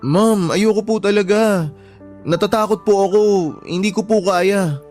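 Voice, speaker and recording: A man's voice: sits high, steady tone, measured pace, darkish tone. A man playing a humble young shop worker in a radio drama, anxious and respectful, pleading politely in distress. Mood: frightened. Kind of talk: Tagalog radio drama acting. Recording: background music under the voice.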